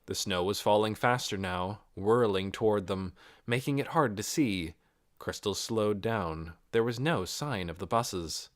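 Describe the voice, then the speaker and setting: An audiobook narrator reading a novel in a dramatic, expressive storytelling manner. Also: low-pitched, measured pace, dry recording, studio quality.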